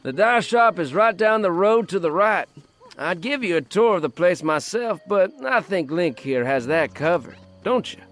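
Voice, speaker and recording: A man's voice: high voice, animated, normal speed, fairly bright tone. A man playing a cheerful, talkative, folksy villager with a teasing manner in a fan audio drama. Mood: cheerful, encouraging.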